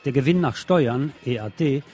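A man's voice: mid-range voice, moderate pace, warm tone, a little hiss or hum. A man hosting a radio programme, reading scripted news commentary in a formal, pointed way. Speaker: radio host.

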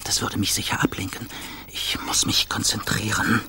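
An actor in a radio play playing a jittery, obsessive addict in a breathy, half-whispered, intense inner monologue. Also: male voice, on the low side, flat delivery, normal speed, pushed and bright. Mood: agitated, craving. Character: jittery, obsessive man craving a cigarette.

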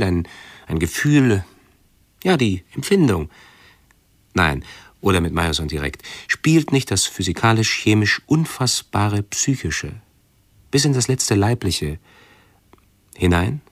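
An actor playing an anxious hypochondriac who broods aloud in a German radio play, pedantic, halting and often interrupting himself. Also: male voice, sits low, breathy edge, dry recording, exaggerated voice. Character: anxious hypochondriac man obsessively rehearsing pleas to doctors.

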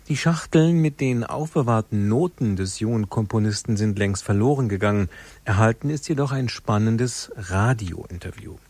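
A man with a fairly low voice introduces a radio drama as a broadcast announcer. He reads from a script, and the sound is dry with no room around it. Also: darkish tone, no echo. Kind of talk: scripted narration, programme introduction.

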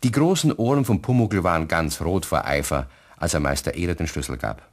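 A man's voice: sits low, animated, a little fast. A man narrating a scripted children's story in a German radio play, speaking vividly to young listeners. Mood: calm telling. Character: male storyteller addressing children.

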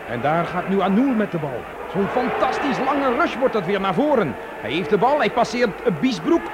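A dramatic football commentator on vintage radio. The voice is high and very animated, reporting play by play in an excitable style, over clearly audible noise. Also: male voice, moderate pace. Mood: tense, reporting. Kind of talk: old radio sports commentary. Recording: noisy old radio broadcast recording.

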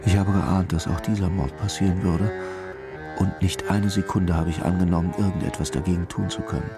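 Brooding commissioner, radio crime drama: introspective, unhurried, very low register with heavy fry. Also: male voice, steady tone, loud music behind the voice. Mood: brooding, musing. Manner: slow, musing, repeats his own phrases. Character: brooding, intuitive male police commissioner, musing inwardly.

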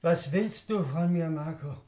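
An anxious man in a 1950s radio play. His voice sits fairly high and moves very slowly, faltering and doubling back on words in a fearful, uncertain way. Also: dark tone. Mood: nervous, evasive. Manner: halting, repeating words, faltering. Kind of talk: 1950s German radio drama acting.

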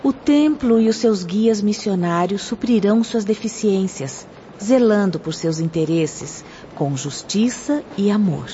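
An actress playing a devout, assured spiritual guide in a radio drama, explaining things formally with a Brazilian accent. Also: female voice, mid-range voice, lively intonation, unhurried, dark tone, lightly acted. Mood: calm, instructive. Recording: dull lo-fi audio with background noise.

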